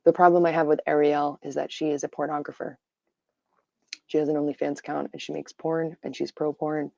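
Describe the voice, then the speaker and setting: A tired woman with a raspy voice, giving her opinions on a casual livestream full of fillers. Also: deep voice, level tone, a little fast, dark tone, no room sound. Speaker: livestream host. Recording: dull lo-fi stream audio.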